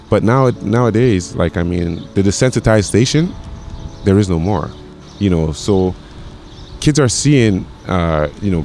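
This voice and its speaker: A man's voice: sits low, a little mellow, a little hiss or hum. A man in his forties from Grenada, a chef guest talking casually, with lots of repeated words and fillers. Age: 40s. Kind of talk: casual conversation.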